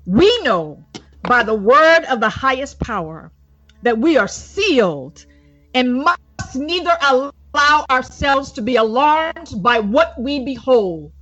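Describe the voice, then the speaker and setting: A woman evangelist reading a formal religious report aloud in a solemn, declamatory, deliberate manner. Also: on the high side, fairly bright tone. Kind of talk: formal religious address, read aloud from a prepared script. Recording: dull lo-fi recording with music underneath.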